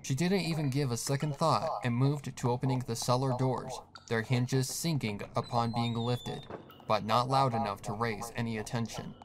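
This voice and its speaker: A man's voice: mid-range voice, steady tone, moderate pace, a crowd in the background, rough recording. A man reading a scripted horror story as the narrator. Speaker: fan fiction narrator.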